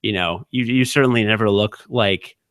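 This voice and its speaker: A man's voice: lowish voice, a bit bright, no room sound. Thirtysomething guy on a podcast, dry close sound, lots of ums. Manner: quick, halting, lots of fillers. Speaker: podcast co-host. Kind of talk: casual chat.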